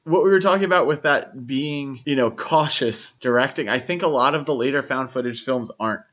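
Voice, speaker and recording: A man's voice: mid-range voice, fairly quick, a bit bright, highs cut off. A man co-hosting a podcast in a casual film discussion, explaining his opinions as he goes. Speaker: podcast co-host.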